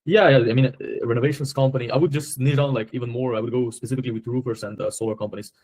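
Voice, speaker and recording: A man's voice: medium pitch, fairly even intonation, very fast, a little mellow. A man with a Slovenian accent in a casual sales conversation, speaking informally and often doubling back on his phrases. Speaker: agency owner on a sales call.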